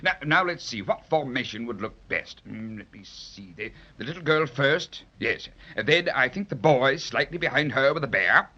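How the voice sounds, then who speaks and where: An actor playing a pompous, dithering master of ceremonies who stammers and repeats himself in a 1930s children's radio drama. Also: male voice, medium pitch, expressive, moderate pace, few pauses, bright, forceful tone. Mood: flustered, fussy. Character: fussy, flustered, self-important male royal master of ceremonies. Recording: muffled 1930s radio recording.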